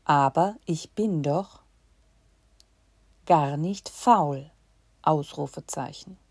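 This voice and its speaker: A woman giving a slow dictation to learners, reading in short chunks and saying the punctuation aloud. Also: low voice, a little mellow, husky, no echo.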